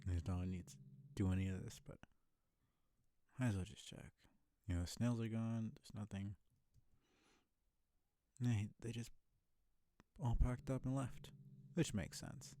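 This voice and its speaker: A man's voice: on the low side, steady tone, a little slow, long pauses, dark tone, no room sound. A man giving casual, blunt live commentary as he streams a game, grumbling as he goes.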